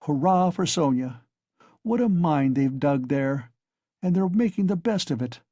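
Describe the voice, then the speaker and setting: A man's voice: fairly high pitch, expressive, measured pace, mellow, no echo. A man voicing a brooding young man who mutters sardonic, malignant thoughts to himself. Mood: bitter.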